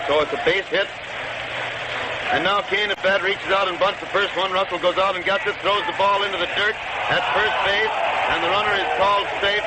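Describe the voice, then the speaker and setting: A man calling baseball play-by-play in a measured, matter-of-fact way, as a 1930s radio commentator on an old broadcast recording. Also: on the high side. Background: loud steady background noise.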